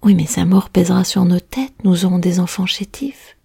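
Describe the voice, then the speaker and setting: A woman's voice: lowish voice, normal speed, gravelly, warm tone, slightly rough, dry recording, clean studio sound, exaggerated voice. A woman voicing a band of jealous, scheming young women who plot in a hissing, conspiratorial whisper.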